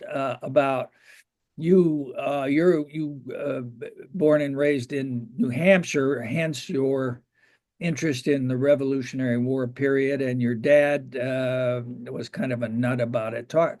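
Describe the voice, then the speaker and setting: A man's voice: mid-range voice, a little mellow. A man hosting an interview show, talking conversationally with a wry, jokey manner.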